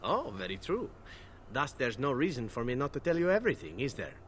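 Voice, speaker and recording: A glib elf assassin. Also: male voice, mid-range voice, big pitch swings, a little fast, fairly bright tone, slight background noise. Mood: sly. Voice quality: smooth, playful and teasing. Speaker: game character. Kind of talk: video game voice acting.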